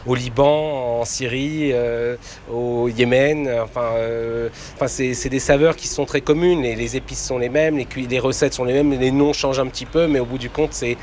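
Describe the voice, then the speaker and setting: A man in his forties hesitates and restarts as he talks on a city street. His pitch is mid, and faint traffic noise hums behind him. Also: steady tone, normal speed, a little mellow. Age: 40s. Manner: hesitant, many fillers and restarts. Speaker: passer-by.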